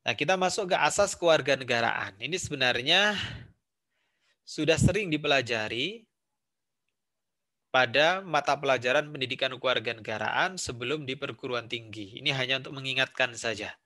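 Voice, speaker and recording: Bright-voiced Indonesian male lecturer. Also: medium pitch, moderate pace. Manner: explains step by step with examples, repeats points. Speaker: civics lecturer. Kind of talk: recorded university lecture.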